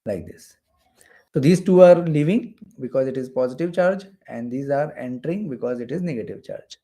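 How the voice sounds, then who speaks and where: A male physics instructor gives a step-by-step lecture. His voice is creaky and somewhat mellow, and he often restates a phrase to drive it home. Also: medium pitch, normal speed. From India. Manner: repeats phrases for emphasis. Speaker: physics teacher for exam preparation. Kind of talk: explanatory lecture while drawing diagrams.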